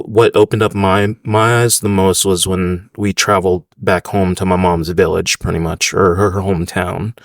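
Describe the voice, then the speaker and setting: A man's voice: deep voice, no room sound, studio quality. A man in his thirties from the United States, a restaurant owner chatting casually in an interview, rambling with fillers.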